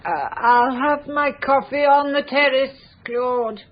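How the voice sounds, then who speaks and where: A woman's voice: high voice, steady tone, takes it slowly. An actress playing an imperious, waspish older lady of wealth in a radio drama, haughty and cutting. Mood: haughty, weary. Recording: dull lo-fi radio recording, faint background noise.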